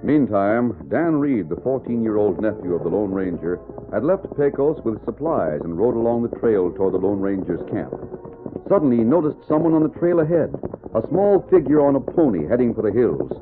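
A man's voice: medium pitch, very animated, normal speed. A man narrating a scripted old-time radio western as a storyteller. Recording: tinny vintage radio, music behind.

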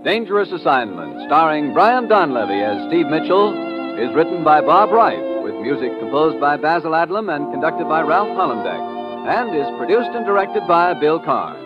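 Male American programme announcer, highish and lively, formal vintage radio credits over loud music. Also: moderate pace. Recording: dull old radio recording, loud music.

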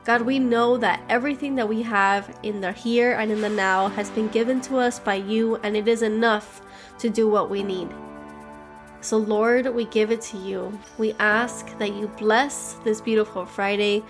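A woman's voice: mid-range voice, steady tone, moderate pace, faint music behind. A woman from Miami, sounding stuffy from a cold, hosting a daily devotional podcast and leading listeners in prayer with an earnest, heartfelt manner. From Miami, Florida.

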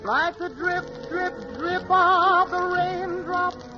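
A woman croons a ballad very slowly, holding each phrase. Her voice is very high and stays within a narrow pitch range. A band plays clearly behind her, and the old recording sounds dull. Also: steady tone, music in the background. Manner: slow, sustained crooning. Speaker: singer. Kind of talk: slow sung ballad with orchestra. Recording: muffled old 1940s radio recording with band.

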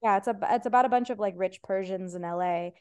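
A woman's voice: medium pitch, fast, clean tone. A woman in her thirties who grew up in Ohio with Farsi as her first language, talking as an interview guest in a chatty, rambling way full of fillers and restarts. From Ohio, USA. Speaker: guest, comedian and post-production editor. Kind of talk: casual interview conversation.

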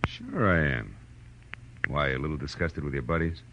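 A man's voice: very deep voice, sing-song, normal speed, very noisy, full character voice. A man playing a wry, hard-boiled private detective in a 1940s radio noir drama, with clipped tough-guy delivery. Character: hard-boiled male private detective, wry and sardonic, narrating his case. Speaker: lead actor and narrator. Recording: dull vintage radio recording with music.

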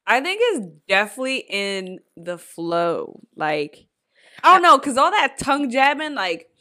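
A woman's voice: fairly high pitch, sing-song, a little slow, bright, forceful tone. A young woman co-hosting a podcast, talking bluntly and profanely in casual chat, full of fillers and quoted reactions. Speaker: podcast co-host.

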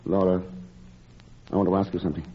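An actor playing an earnest, tender gentleman in love, in an old radio drama, with a husky voice. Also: low-pitched, fairly even intonation, fast. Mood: tender, intense. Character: earnest, lovelorn English gentleman, tender and resigned. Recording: muffled old radio recording.

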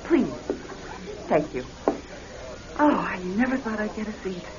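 A woman's voice: medium pitch, sing-song, a little slow, a crowd in the background. An actress playing a polite, poised divorced woman architect in a classic radio drama. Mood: polite, reserved. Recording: dull lo-fi old radio recording.

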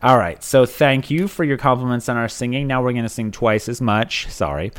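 A man's voice: medium pitch, brisk, smooth. A young man from Alabama co-hosting a casual comedy podcast, bantering and reading listener reviews aloud. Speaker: podcast co-host.

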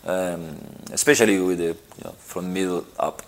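A middle-aged man from Argentina answering interview questions, halting and repeating himself, with lots of "you know". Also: sits low, fairly even intonation. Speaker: interviewed footballer.

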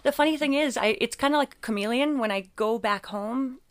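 A woman's voice: fairly high pitch, a little fast. A woman from England in casual conversation as an interview guest, with a mostly American accent that carries occasional English traces. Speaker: podcast guest, artist.

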